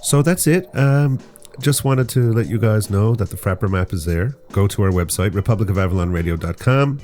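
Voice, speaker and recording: Deep-voiced rambling male podcast host. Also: animated, a little fast, darkish tone, faint music behind. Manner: rambling, jokey, explains slang. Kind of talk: casual chat.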